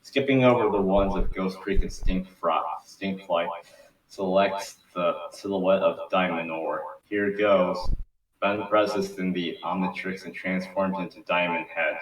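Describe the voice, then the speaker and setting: Deep-voiced man narrating in an echoey room. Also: steady tone, unhurried. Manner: informal, profane, stumbles over words. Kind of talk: reading script narration at casual table read. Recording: echoey room, distant mic, background noise.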